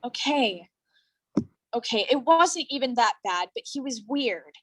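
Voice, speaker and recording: A woman's voice: on the high side, lively intonation, unhurried, a bit bright. A woman acting as a guarded, stubborn young actress in a staged play reading, with a defensive, blunt manner. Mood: uneasy.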